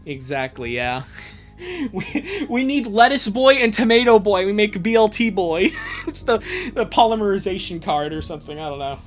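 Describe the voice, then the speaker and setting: Animated streamer prone to laughing. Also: mid-range voice, moderate pace, soft background music. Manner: jokey, breaks into laughter, exclamations. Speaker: streamer and host of a fan fiction dub. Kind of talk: livestream dramatic reading with joking asides.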